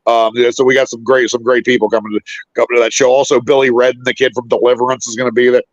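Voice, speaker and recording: Dull, lo-fi stream audio of a man from West Virginia with a booming voice. He talks very quickly and casually as a guest, rambling and repeating words as he goes, in a dry space. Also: little pitch movement, no echo. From West Virginia, United States. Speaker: guest, indie filmmaker. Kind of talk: casual chat.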